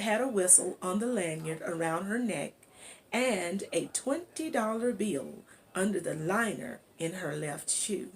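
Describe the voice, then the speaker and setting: A woman reading a children's chapter book aloud as an amateur narrator, in a deliberate manner. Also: medium pitch. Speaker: amateur audiobook reader.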